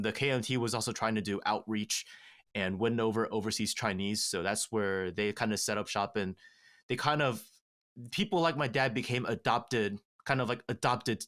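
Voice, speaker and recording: A man with a somewhat low voice talks through a family history in a relaxed podcast chat. He is measured and halting, with plenty of fillers and restarts. The sound is close and clean, from a studio mic in a small treated room. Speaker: podcast guest. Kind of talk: casual conversational storytelling. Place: small home studio with acoustic foam panels. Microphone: studio mic with headphones.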